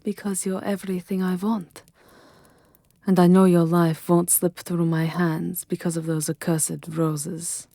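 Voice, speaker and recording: A woman's voice: fairly low pitch, little pitch movement, mellow, dry recording, clean studio sound. A woman playing an ancient, possessive vampire lover in an intimate ASMR roleplay, haughty and often whispering. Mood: tender, seductive. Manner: slow, breathy, haughty, often dropping to a whisper.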